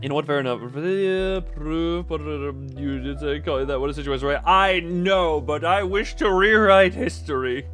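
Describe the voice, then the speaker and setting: A man's voice: fairly high pitch, normal speed, hardly any pauses, fairly bright tone, soft background music. A man hosting a casual livestream, chatting with viewers in a jokey, playfully mock-dramatic way. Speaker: livestream host.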